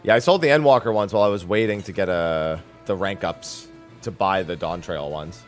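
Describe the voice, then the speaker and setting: A man's voice: deep voice, a little fast, soft background music. A man chatting casually as he hosts a livestream of a video game. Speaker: game streamer.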